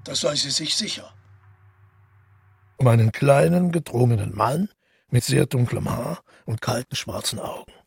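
An actor playing a weary, solitary murderer who calmly recalls his deed in a reflective radio drama monologue. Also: mid-range voice, a little slow, dry recording, full character voice. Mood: brooding, matter-of-fact. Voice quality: husky, gravelly.

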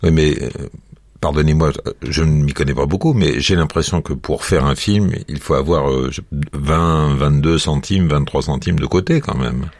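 Very deep-voiced male radio host. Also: moderate pace, a little mellow, no room sound, studio quality. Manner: playful, digressive, challenges the guest. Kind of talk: conversational radio interview.

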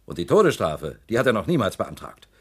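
A man's voice: fairly low pitch, a little fast, slightly rough, full character voice. A sly scheming male politician. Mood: probing. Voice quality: sly, insinuating, lively phrasing. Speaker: politician Quinn. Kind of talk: radio drama acting.

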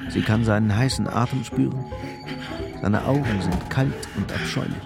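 A man's voice: on the low side, vocal fry. A man giving a grim, intense scripted narration of a crime story in a German radio drama. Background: music.

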